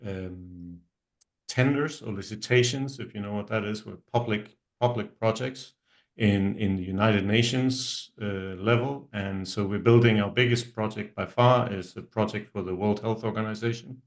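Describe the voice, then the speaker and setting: A middle-aged Danish man, not speaking his first language, introduces himself and his web agency on a virtual meetup call, with many ums and restarts. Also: lowish voice. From Denmark. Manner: halting, many ums and restarts, trailing off quietly. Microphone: video call.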